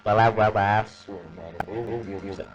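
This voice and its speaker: A man with a Brazilian accent, a native Portuguese speaker, giving a casual, hesitant software demo. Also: lowish voice, steady tone, muffled recording. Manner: halting, hesitant. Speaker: amateur video maker demonstrating software. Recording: noisy amateur microphone, background noise.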